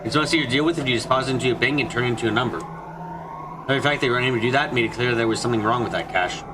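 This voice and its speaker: A man's voice: medium pitch, very fast, background noise, pitched below the performer's own voice, close to an everyday voice. A man playing a wary grown man confessing a secret in a visual novel fan dub, grave and guarded. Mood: grave, uneasy.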